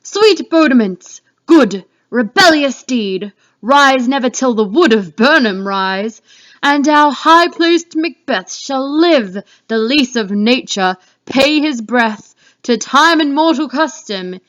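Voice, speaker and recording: A woman's voice: high-pitched, expressive, slow, a bit bright, voice pushed up, exaggerated voice. A woman reading the part of Macbeth, a fearful, defiant king, in a declamatory Shakespeare dramatic reading. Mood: defiant, demanding. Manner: declamatory, exclamatory. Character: Macbeth, male Scottish thane turned king, fearful and defiant. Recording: dull lo-fi home recording.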